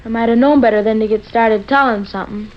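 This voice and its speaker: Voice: mid-range voice, steady tone, quick pace, faint music behind, close to an everyday voice. A young performer playing a talkative, literal-minded American boy with a folksy, slangy manner in 1940s radio comedy. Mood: quibbling. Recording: dull old radio broadcast recording.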